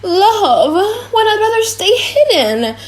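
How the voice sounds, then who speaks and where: A woman's voice: extremely high pitch, very animated, slow, voice pushed up, heavily acted. A woman playing a bashful young woman in love in a dramatised audiobook. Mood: embarrassed. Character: shy young woman in love, disguised as boy.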